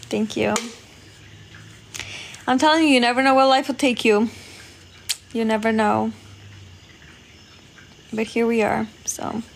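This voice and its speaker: Woman, from Poland, livestream host, slow unhurried talk, slightly high voice, giggly, faint ambient hiss. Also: creaky. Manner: chatty, giggly, thanks viewers often. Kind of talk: casual livestream chat reading comments.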